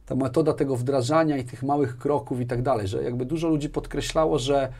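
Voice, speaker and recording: A man in his forties talks as a guest in a casual studio interview. He repeats words as he thinks and often ends phrases with a short checking tag. His pitch sits in the middle of the male range. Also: steady tone, brisk, a little mellow, husky. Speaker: podcast guest, technology executive. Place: small furnished studio with padded wall panels, indoors. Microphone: lapel microphone.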